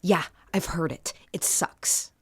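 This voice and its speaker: An actress playing a defiant, sharp-tongued female vice president in an audio drama. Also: slow pace, no room sound, lightly acted. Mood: angry.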